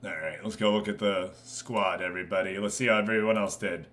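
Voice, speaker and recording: Male game streamer, bright forceful voice, live football play-by-play with casual chat asides, excitable delivery. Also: fairly low pitch, brisk. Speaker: streamer commentating football management game matches.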